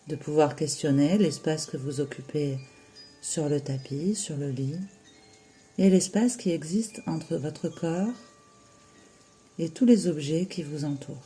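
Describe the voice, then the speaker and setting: A yoga nidra teacher guiding a relaxation in a soothing, unhurried way, with long pauses between short phrases. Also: mid-range voice, mellow, soft background music. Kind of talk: slow guided relaxation meditation.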